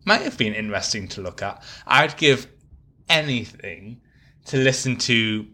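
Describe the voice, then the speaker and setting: A man's voice: medium pitch, expressive, a little slow, pushed and bright. A man co-hosting a casual music discussion, talking in an effusive, analytical and wordy way. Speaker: podcast co-host.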